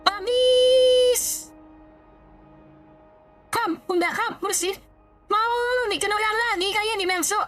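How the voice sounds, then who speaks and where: A woman's voice: very high voice, slow pace, long pauses, a bit bright, soft background music. A woman with an Indonesian accent giving casual live-stream commentary as a VTuber playing a game.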